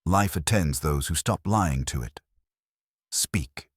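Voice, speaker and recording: A man's voice: rumbling low, animated, takes it slowly, breathy edge, no room sound, studio quality, exaggerated voice. A man voicing an imperious, exacting master detective in an audiobook, formal, pedantic and coldly accusing. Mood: commanding.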